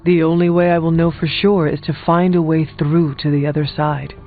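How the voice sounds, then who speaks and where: A man voicing a solemn, determined warrior hunter from the past in a video game, with a serious, formal and deliberate delivery. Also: fairly high pitch, level tone, narrow, tinny audio, soft background music, close to an everyday voice. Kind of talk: video game character dialogue.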